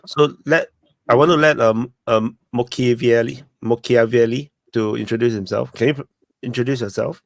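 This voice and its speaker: A man's voice: mid-range voice, fairly even intonation, moderate pace, vocal fry. A man hosting a casual live discussion in English with non-native phrasing. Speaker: host of an open-mic discussion show.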